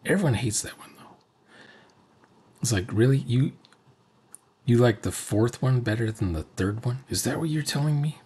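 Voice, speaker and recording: A man's voice: mid-range voice, normal speed, long pauses, a little mellow, slightly rough. A man in his sixties giving casual, off-the-cuff reaction commentary, muttering asides as if talking to himself. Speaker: reaction video host. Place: cluttered home room, indoors.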